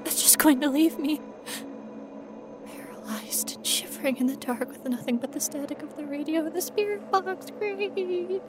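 A woman playing a frightened, wry young ghost researcher in an audio horror monologue, rambling nervously to herself. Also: high-pitched. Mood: panicked, sarcastic. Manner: rambling nervous self-talk, sardonic, often dropping to whispers.

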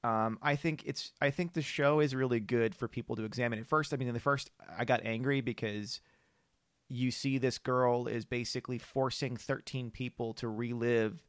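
Male, mid-range voice, casual podcast host, halting and rambling, dry close recording. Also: mid-range voice, fairly even intonation, no echo.

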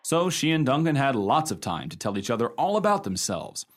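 An actor playing a genial husband spinning a ghost yarn in a conversational, expressive way for a radio play. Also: male voice, mid-range voice, a little fast. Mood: confiding. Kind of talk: radio drama storytelling.

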